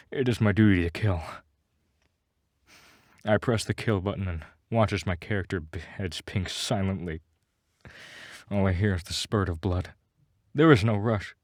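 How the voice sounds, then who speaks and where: A man with a fairly low voice narrates a scripted first-person tale, recorded close and dry. He delivers it with mock-serious melodrama, treating every line as grave drama. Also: no echo. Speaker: story narrator.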